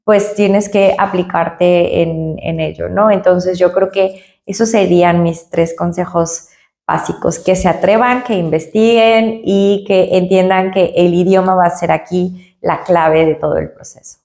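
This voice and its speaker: A woman's voice: lowish voice, steady tone, a little slow, a little mellow, roomy sound. A woman, a company director, answering interview questions and explaining her points with analogies and frequent tag questions. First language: Spanish.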